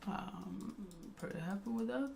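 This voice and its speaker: A Swedish-accented man talks slowly through a live painting tutorial, his voice heavily gravelly with fry. He hesitates a lot and fills the gaps with fillers, speaking casually and softly. Faint keyboard clicks and typing sit under his voice. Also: high voice, noisy background. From Sweden. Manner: slow, hesitant narration with many fillers, softly chatty. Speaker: digital art tutor.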